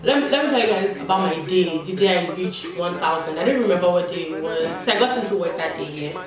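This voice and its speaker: A woman's voice: lowish voice, expressive, quick pace. A young woman chatting candidly while doing her makeup, with slangy asides. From Nigeria. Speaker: beauty vlogger. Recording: echoey room, distant mic, background music. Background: background music.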